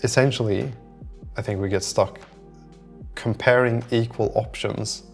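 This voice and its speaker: A man's voice: mid-range voice, steady tone, unhurried, vocal fry, faint music behind. A man rambling and thinking aloud in an unscripted, casual solo vlog monologue. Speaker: solo app developer vlogger.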